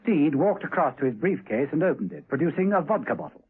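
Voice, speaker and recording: A man's voice: fairly high pitch, animated, fast, husky. A man narrating a 1970s radio drama in an urgent, theatrical storytelling style. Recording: narrow 1970s radio recording with music.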